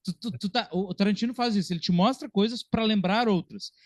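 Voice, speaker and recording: Southern brazilian guy on a film podcast, pretty high voice, slangy and casual, really dry close sound. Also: fast, no room sound. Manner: informal, slangy. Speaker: podcast panelist.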